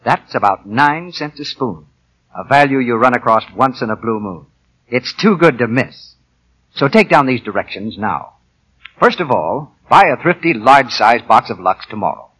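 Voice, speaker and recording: A man's voice: mid-range voice, a bit bright, husky, low-quality recording. A man announcing in a polished, salesmanlike 1940s radio advertising style. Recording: 1940 radio broadcast.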